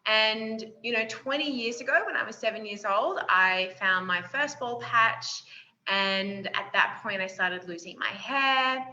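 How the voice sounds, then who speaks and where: A woman's voice: on the high side, a little slow, sharp tone. A woman, speaking openly and reflectively as an interview guest. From Australia. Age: 20s. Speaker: guest, yoga teacher and confidence coach. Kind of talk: interview conversation. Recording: roomy, dull sound.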